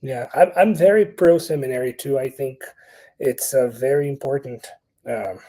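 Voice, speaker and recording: A man's voice: medium pitch, moderate pace, warm tone. A man hosting a podcast interview, friendly and affirming, asking follow-up questions. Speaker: podcast host. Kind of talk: conversational interview.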